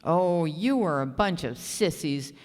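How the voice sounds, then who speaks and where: An actress playing a fond middle-aged mother in a family radio drama, quick to worry. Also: low-pitched, lively intonation, darkish tone. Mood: reflective. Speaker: community radio actor. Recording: reverberant live hall.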